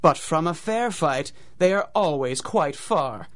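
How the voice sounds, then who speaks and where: An actor playing a dramatic radio announcer, telling a pulp tale in rhyme with theatrical, measured storybook delivery. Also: male voice, on the high side, animated. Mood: grave, dramatic. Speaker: announcer and narrator. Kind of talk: rhymed storybook narration in old-time radio drama style.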